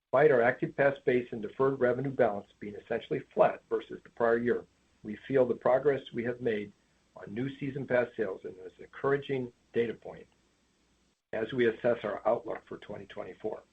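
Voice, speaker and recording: A tinny phone connection with faint noise carries a man's mid-pitched voice. He is a finance executive formally reading a prepared earnings presentation. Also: fairly quick, gravelly. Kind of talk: scripted earnings call presentation.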